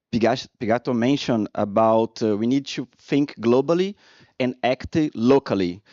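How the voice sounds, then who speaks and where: A man from Brazil, speaking English on a panel in a halting way with restarts and fillers. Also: mid-range voice, darkish tone, no room sound. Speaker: panel speaker interpreting for a colleague. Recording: dry, dull lo-fi conference recording.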